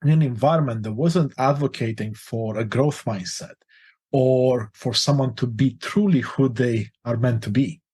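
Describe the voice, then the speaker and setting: A man's voice: mid-range voice, moderate pace, darkish tone, breathy edge. A forty-year-old man from Romania, a life coach telling his life story in an interview, earnest and open. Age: 40s.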